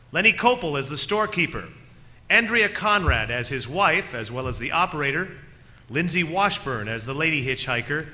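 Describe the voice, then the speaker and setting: A man's voice: on the high side, sharp tone. A man announcing the closing credits of a radio theatre production in a scripted sign-off style.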